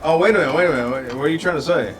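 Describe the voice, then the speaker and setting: A man's voice: fairly high pitch, rapid-fire. A man hosting a casual local radio show, announcing and chatting in a playful, teasing way from a studio. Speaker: radio host. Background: music bed.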